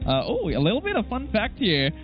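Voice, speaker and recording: Male co-caster, easygoing explainer, high and lively pitch, dull stream audio with clear music underneath. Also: fairly quick. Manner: easygoing, explains draft picks. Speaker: esports caster. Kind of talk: live esports commentary and banter.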